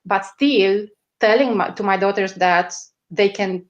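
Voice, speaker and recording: Slow, hesitant woman with a Romanian accent. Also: medium pitch, muffled recording. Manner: hesitant, searching for words with many fillers. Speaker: guest, media strategist. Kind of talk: interview answers.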